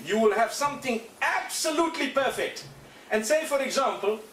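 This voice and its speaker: A man giving a calm religious lecture, speaking softly into a desk mic in an indoor lecture room. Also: high-pitched. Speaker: preacher in played clip. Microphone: desk mic on stand. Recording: echoey, distant, faint hiss.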